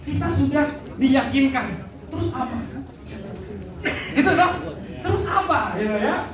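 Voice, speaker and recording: A man's voice: extremely high pitch, unhurried. A man working as emcee at a community gathering, energetically leading the crowd in call-and-response chants. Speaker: event emcee. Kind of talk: hyping a campaign gathering. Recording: echoey hall miked from far off. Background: lively crowd.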